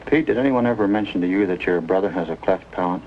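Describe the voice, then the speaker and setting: An American man playing a calm, plain-spoken doctor with a folksy, measured manner in a 1950s TV drama. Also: low voice, close to an everyday voice. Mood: calm, instructive. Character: calm, plain-spoken male doctor, reassuring with young patients. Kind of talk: 1950s television drama acting. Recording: muffled, noisy old 1950s TV soundtrack.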